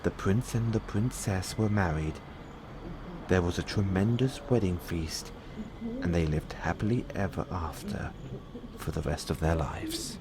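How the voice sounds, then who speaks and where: A man reading a bedtime fairy tale as a storyteller, in measured storybook narration. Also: sits low, crowd noise, low-quality recording. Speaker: storyteller playing a boyfriend in audio roleplay. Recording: clearly audible background noise.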